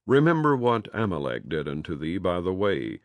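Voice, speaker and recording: A man reading scripture aloud in a measured, solemn cadence, letting phrases fall at their ends. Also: sits low, wildly swooping pitch, dry recording. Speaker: audio Bible reader.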